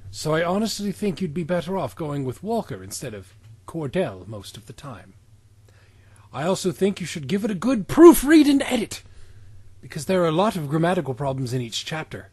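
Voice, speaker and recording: A man's voice: a little high, big pitch swings, normal speed, a little mellow, breathy edge. A man co-hosting a comedy podcast, reading reviews aloud and joking around with lots of laughter and swearing. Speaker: podcast co-host.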